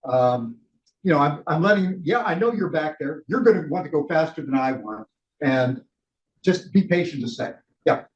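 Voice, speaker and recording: Older male trainer, loud chatty delivery, dull lo-fi room sound. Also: fairly high pitch, expressive, normal speed. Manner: loud, chatty, laughs between points. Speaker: ride leader trainer. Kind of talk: casual training talk. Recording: roomy, dull lo-fi room recording.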